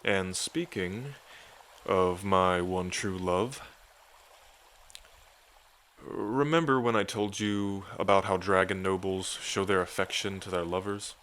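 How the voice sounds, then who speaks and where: A man's voice: deep voice, fairly even intonation, slow pace, a bit bright. A man playing an affectionate dragon king in an intimate ASMR roleplay, speaking in a casual, tender way to a lover. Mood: tender, adoring. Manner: tender, casual, hesitant when moved.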